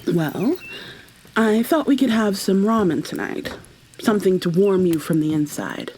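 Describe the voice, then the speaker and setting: A woman playing a tender, possessive kidnapper in an ASMR roleplay, speaking softly and haltingly, often slipping into a breathy whisper as she coaxes. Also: mid-range voice, lively intonation, slight background noise, lightly acted, low-quality recording. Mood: wistful, subdued. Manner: coaxing, gentle, halting. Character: tender, possessive female kidnapper doting on her captive lover.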